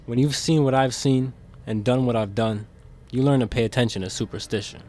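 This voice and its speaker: A man's voice: medium pitch, a little fast, darkish tone, natural voice. A man playing a guarded, conscience-stricken demon in an audio drama, earnest and defensive in manner. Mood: guarded, wary. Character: guarded, conscience-stricken demon insider smuggling secrets to captive.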